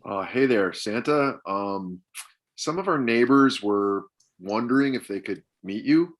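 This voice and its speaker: A man's voice: fairly low pitch, unhurried, lightly acted. A man playing an exasperated middle-aged dad with a dry, sarcastic manner in a comedy table read. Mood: dry, grumbling.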